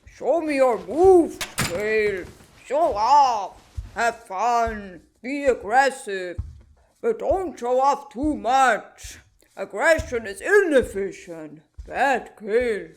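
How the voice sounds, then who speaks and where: Man voicing a high, drawn-out taunting inner voice. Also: animated, heavily acted. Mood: mocking. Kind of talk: animated comic fan dub.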